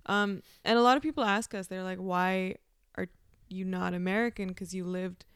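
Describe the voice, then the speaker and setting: Pakistani-born woman chatting on a podcast, super clear voice, dry close sound, lots of ums. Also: mid-range voice, fairly even intonation, normal speed, dry recording. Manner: thoughtful storytelling with frequent "um" and "like". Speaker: actor, interview guest. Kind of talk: casual interview conversation.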